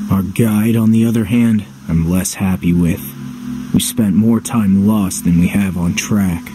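A man playing a brooding, wary young warrior in a video game, speaking in a terse, dry, distrustful manner. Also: low voice. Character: brooding, wary young male warrior narrating his journey. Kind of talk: video game cutscene acting and voice-over.

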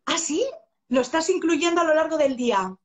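A woman's voice: sits high, animated, moderate pace. A bubbly, effusive woman with an accent from Spain, chatting casually as host of an interview from a room at home. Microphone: phone held close, live video call.

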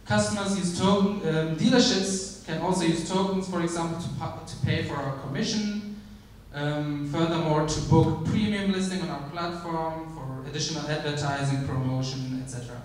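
A man giving a startup pitch on stage, measured, with frequent ums and restarts. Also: a little hiss or hum. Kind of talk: conference pitch. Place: large theater stage. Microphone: handheld mic, filmed from the audience. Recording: echoey hall from far off.

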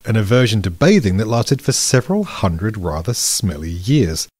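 Husky-voiced British man explaining history. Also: lowish voice, big pitch swings, normal speed, a little mellow. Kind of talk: scripted podcast narration.

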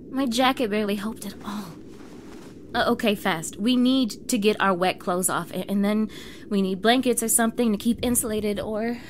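Snippy, high-voiced young mean girl played by a woman. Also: a little hiss or hum. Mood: urgent, bossy. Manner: exasperated, bossy, sarcastic. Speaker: audio roleplay performer. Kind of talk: acted audio roleplay drama, addressed to the listener.

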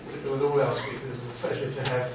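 An older man hosting a seminar with an informal, rambling, halting and jokey introduction. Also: medium pitch, flat delivery. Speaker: seminar host introducing a speaker. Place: small seminar room. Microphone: far camera microphone. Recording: distant mic, echoey noisy room.

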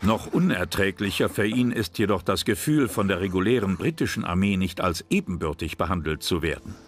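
A man narrating a history documentary drama in a measured, serious way. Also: sits low, animated. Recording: narration over loud music.

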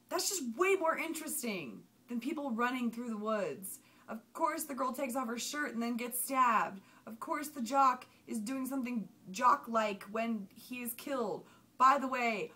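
A young woman talking casually to her webcam at home, chatty and emphatic as she gives a movie review. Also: fairly high pitch. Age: young adult. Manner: chatty, animated hand gestures, emphatic outbursts. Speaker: vlogger. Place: small home room with shelves. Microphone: webcam at desk.

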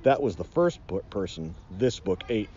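A man's voice: lowish voice, very animated, moderate pace, warm tone, dull audio, a little hiss or hum. A middle-aged man reading a children's storybook aloud in a dramatic, mock-ominous way. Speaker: nature educator reading aloud.

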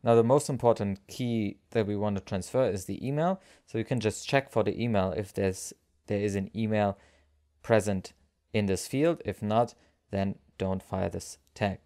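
A man narrating a software tutorial as its presenter, explaining things step by step. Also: fairly low pitch, moderate pace.

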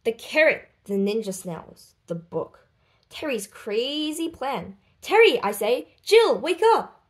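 A woman reading a children's book aloud expressively, with deliberate, dramatic phrasing and lifted voices for dialogue. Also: high voice, sing-song. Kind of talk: expressive storybook read-aloud.

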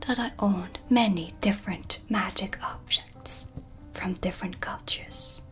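A woman playing a calm, mysterious dark witch with dry, teasing menace, in a hushed, close-miked ASMR roleplay. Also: fairly low pitch. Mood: calm, skeptical. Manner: slow, deliberate, hushed, dryly teasing. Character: dark witch, female, mysterious, sardonic, calm teacher of shadow magic. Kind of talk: soft-spoken whispered ASMR roleplay. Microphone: close studio mic for ASMR.

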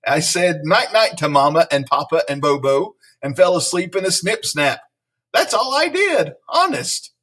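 A man's voice: fairly high pitch, fairly bright tone, slightly rough, recorded from a distance. A man voicing an earnest, chatty little schoolgirl in a storybook, rambling in rhyming baby-talk words.

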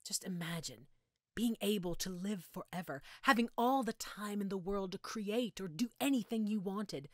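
A woman's voice: mid-range voice, no echo, studio quality, lightly acted. Woman acting a mocking, swooping female mad scientist. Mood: fervent, proud. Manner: mocking, theatrical, taunting endearments. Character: menacing, gloating female mad scientist obsessed with immortality. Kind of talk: audio roleplay acting addressed to listener.

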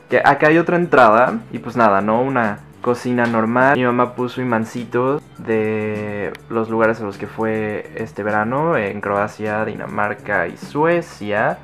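A young man in his twenties with a Mexican accent, chatting casually and giggling as he gives a house tour, holding the camera at arm's length. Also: medium pitch. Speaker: vlogger. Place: mobile home, indoors and outdoors. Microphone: handheld camera at arm's length.

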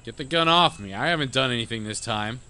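A man's voice: mid-range voice, expressive, fast, pushed and bright. An actor playing a brash, cynical, foul-mouthed male outlaw in an audio drama. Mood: defiant. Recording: clear outdoor ambience behind.

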